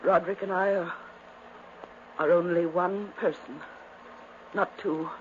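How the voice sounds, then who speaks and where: An actress playing a dying, delirious twin sister, intense and pleading in 1950s radio drama style. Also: female voice, on the low side, fairly even intonation, a little slow, close to an everyday voice. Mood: bleak, haunted. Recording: muffled, hissy 1950s radio recording.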